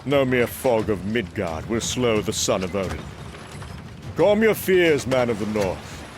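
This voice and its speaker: A man's voice: lowish voice, very animated, unhurried, background noise. An actor playing a proud, brash young male Norse god of thunder, grandiose and commanding, in a comic book audio drama. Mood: confident.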